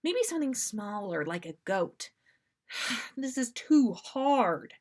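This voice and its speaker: A woman's voice: a little high, very animated, takes it slowly, heavily acted. A woman voicing an earnest, dramatic, easily panicked teenage boy in a story reading. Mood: anxious pondering. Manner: overwrought, exclaiming.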